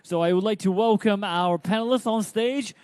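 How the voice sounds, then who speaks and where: High-voiced male event emcee. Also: a little mellow, clear, resonant tone. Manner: formal, drawn-out name announcing. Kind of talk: event announcements. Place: conference hall.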